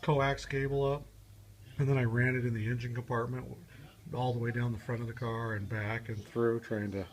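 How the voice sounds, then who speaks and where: A man talking through a casual, unscripted DIY car how-to as a vlogger, halting and rambling as he thinks aloud. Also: mid-range voice, level tone.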